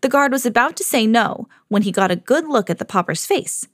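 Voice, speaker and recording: A mother hosting her own podcast, reading a children's storybook aloud with lively jumps for the quoted lines. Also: a little high, brisk, no room sound, clean studio sound. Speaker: mom reading to her kids, podcast host.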